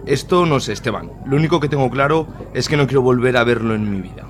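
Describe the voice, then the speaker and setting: A man's voice: mid-range voice, lively intonation, fast, slight background noise, lightly acted. A man playing a grandfather who is shaken up, hesitates and swears. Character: older grandfather, shaken and crude.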